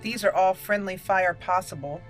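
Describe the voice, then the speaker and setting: A woman's voice: lowish voice, normal speed, soft background music. A woman giving casual gameplay commentary, rambling and thinking aloud as she plays. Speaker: gameplay host.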